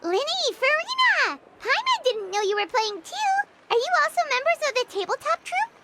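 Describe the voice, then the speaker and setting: A woman voicing a tiny, childlike, excitable fairy companion in a video game, squeaky and chirpy. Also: normal speed, very noisy, exaggerated voice. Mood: excited, curious. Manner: refers to self in third person, chirpy. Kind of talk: video game character dialogue. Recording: music playing behind the voice.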